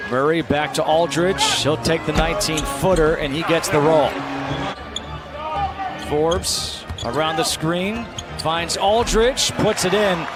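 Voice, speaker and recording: A man's voice: on the high side, takes it slowly, over loud music. A man calling live basketball play-by-play in short, clipped phrases that rise on big plays. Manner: clipped running calls, rising on big plays. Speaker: play-by-play announcer. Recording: arena crowd and court noise behind commentary. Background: busy basketball arena, bouncing ball.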